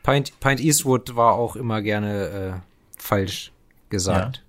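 A man's voice: on the low side, slow pace, fairly bright tone. A man co-hosting a music podcast, chatting casually in a wry, bantering manner. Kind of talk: casual chat.

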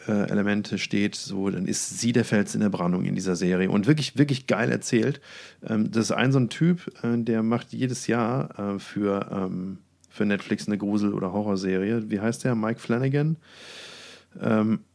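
A man's voice: fairly low pitch, creaky, a little mellow. A man co-hosting a podcast, chatting casually and often hesitating as he searches for words. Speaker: podcast co-host. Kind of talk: casual chat.

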